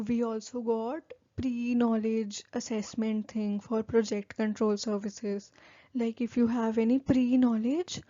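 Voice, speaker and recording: A woman's voice: fairly high pitch, mellow, no room sound, lo-fi sound. A young woman with an Indian English accent, whose first language is Hindi, giving casual career advice to her viewers with many "like" fillers. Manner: chatty, many "like" fillers, informal. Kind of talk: casual advice talk to camera.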